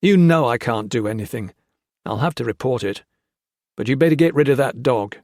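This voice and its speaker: A man's voice: medium pitch, expressive, normal speed, a little mellow, dry recording, studio quality, close to an everyday voice. A man voicing a tired, sick army officer who gives angry, commanding orders. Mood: stern. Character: exhausted, ill-tempered male officer.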